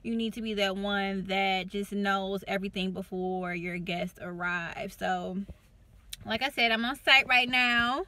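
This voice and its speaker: A woman's voice: mid-range voice, steady tone, measured pace, pushed and bright, no echo. A young woman vlogging from her parked car on a handheld phone, chatty and slangy as she talks about assisting a client at an event. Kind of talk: casual vlog chat. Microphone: phone at arm's length.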